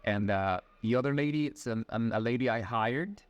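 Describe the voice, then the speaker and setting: A man's voice: mid-range voice, normal speed, no room sound, muffled recording. A man in his twenties from Ecuador with a Latin American Spanish accent, a guest answering interview questions in a friendly, hesitant way. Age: 20s. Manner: friendly, hesitant, many fillers and restarts. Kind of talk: casual conversational answers.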